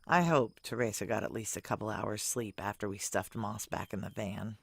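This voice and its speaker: A woman's voice: very deep voice, moderate pace, creaky, slightly rough, no echo, full character voice. A woman playing a guarded, brooding woman on the run who narrates a noir audio drama in a terse, wry, confiding way. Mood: watchful.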